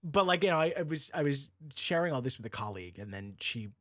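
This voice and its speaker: A man chatting casually on a podcast, opinionated and animated, with a sweary, informal way of talking. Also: mid-range voice, quick pace, slight background noise. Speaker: audio drama writer, podcast speaker.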